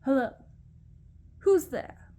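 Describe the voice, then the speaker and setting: A woman's voice: drawn-out words, mellow. A woman reading a storybook in ASMR style, voicing a cold, bullying young prince who is curt and condescending. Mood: alarmed, demanding.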